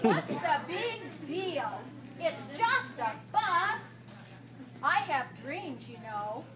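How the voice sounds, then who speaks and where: A woman's voice: sits high, sing-song, drawn-out words, full character voice. A woman voicing a stubborn, wheedling pigeon in a children's puppet show, pleading dramatically. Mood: indignant. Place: library children's room, audience of children. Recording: echoey noisy room from far off.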